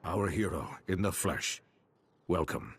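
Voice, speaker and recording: An actor playing a courteous, loyal and stoic nobleman in a video game, with a husky voice and formal, gracious manner. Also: low-pitched, expressive, unhurried, a little hiss or hum. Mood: gracious, proud. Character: courteous, loyal male minor nobleman, wounded but stoic.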